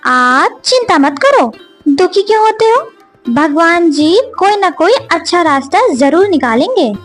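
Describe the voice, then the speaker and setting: A woman voicing a gentle, supportive village wife in a children's cartoon story. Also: very high-pitched, expressive, a little slow, soft background music. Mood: consoling.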